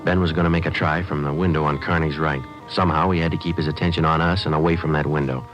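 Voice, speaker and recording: Deadpan, fast-talking radio detective. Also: male voice, rumbling low, faint music behind. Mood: deadpan, tense. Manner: deadpan, terse, matter-of-fact. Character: stoic police detective, clipped and businesslike, narrating his case. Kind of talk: 1950s radio drama acting and narration. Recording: dull old 1950s radio recording.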